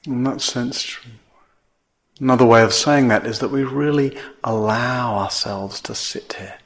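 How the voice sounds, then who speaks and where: A man teaching a guided meditation in measured phrases, with long pauses between them. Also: medium pitch.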